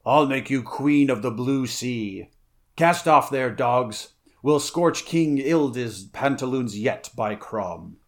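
A man's voice: medium pitch, expressive, takes it slowly, hardly any pauses, a little mellow. Man playing a barbarian pirate captain, grim, stern, commanding, challenging, adventure storybook.

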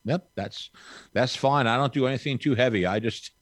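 A man's voice: medium pitch, normal speed, dry recording, clean studio sound. A man in his sixties, a podcast guest chatting casually, with a rambling, folksy, upbeat manner. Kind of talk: casual chat.

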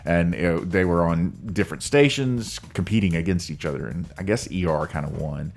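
A man's voice: low-pitched, brisk, gravelly, a little hiss or hum. A middle-aged man, co-hosting a casual podcast and telling stories with frequent laughs. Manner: chatty, laughs often, tells anecdotes. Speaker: podcast co-host.

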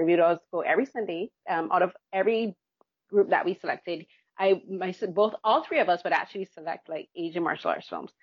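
A woman's voice: on the low side, husky, dry recording, dull audio. A woman from Barbados, a film critic talking on a podcast in a chatty, self-deprecating way with many fillers.

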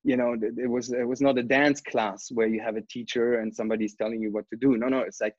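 A man's voice: medium pitch, flat delivery, fast, no echo. German-accented man, dull close recording. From East Germany. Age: 30s. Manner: informal, peppered with "you know". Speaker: podcast guest, breakdancer. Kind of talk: casual interview storytelling.

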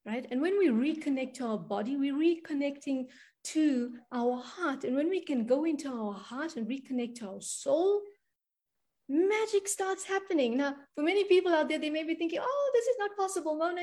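A woman's voice: sits high, animated, moderate pace, a little mellow. A woman from South Africa, a money and spiritual coach, talking earnestly in an interview and often checking in with "right?". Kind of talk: conversational interview.

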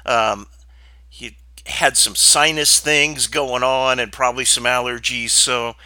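A man's voice: medium pitch, steady tone, slow pace, bright, forceful tone, dry recording. Stuffed-up, blunt male podcast host. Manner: blunt, opinionated, rambling with fillers. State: stuffed up from sinus trouble. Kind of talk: casual solo podcast talk.

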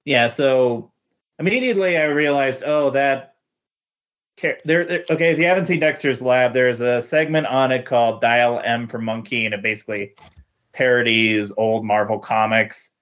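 A man chatting casually as a podcast host, with a halting, hesitant delivery. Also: medium pitch, fairly bright tone. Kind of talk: casual chat. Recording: muffled lo-fi audio.

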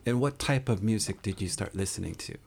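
A man hosting a podcast, asking measured interview questions. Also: on the low side, creaky, darkish tone. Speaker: podcast host.

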